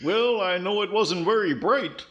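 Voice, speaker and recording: Slow, dopey country bumpkin dummy. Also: male voice, high voice, expressive, a bit bright, dull audio. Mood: dopey, cheerful. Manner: slow, drawling, dopey, hesitant. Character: dim-witted, good-natured country-bumpkin ventriloquist dummy. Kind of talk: 1940s radio comedy acting.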